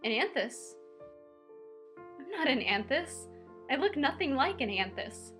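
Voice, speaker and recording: A woman acts out a teenage outcast girl creature in an audio fantasy story. Her voice sits high, eager yet timid, and she hesitates as she talks. Music plays behind her. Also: a little slow. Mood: bewildered. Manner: hesitant, stammering, excitable. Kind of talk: audio story character dialogue.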